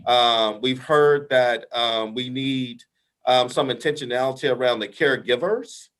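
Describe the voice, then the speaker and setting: A man's voice: mid-range voice, unhurried, a bit bright. Emphatic man with a big voice. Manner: emphatic, repeats "right?" as a tag, many restarts. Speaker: invited expert advising the council. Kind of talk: meeting discussion.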